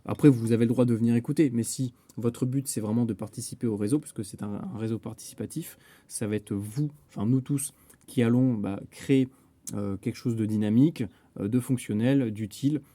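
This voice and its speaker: A man giving a casual software tutorial as a network administrator, explaining step by step with some hesitation. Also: mid-range voice, a little fast, no echo.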